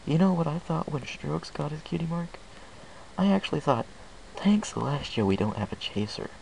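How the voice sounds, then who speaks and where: A man acts out an awkward pony stallion, a young dad in a fan-fiction audiobook. The voice is pitched up and halting, uneasy as it goes. There is some noise on the home recording. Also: very animated, creaky, darkish tone, poor audio. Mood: pitying. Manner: hesitant, halting. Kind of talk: amateur audiobook character voice.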